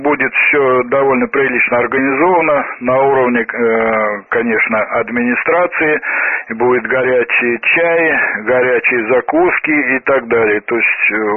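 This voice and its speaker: Husky man hosting over narrowband radio. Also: mid-range voice. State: slightly ill, voice affected by a cold. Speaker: amateur radio net host. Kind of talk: casual radio round-table talk, handing over to callers. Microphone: amateur radio transmitter microphone. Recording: phone-like narrowband radio link, roomy echo.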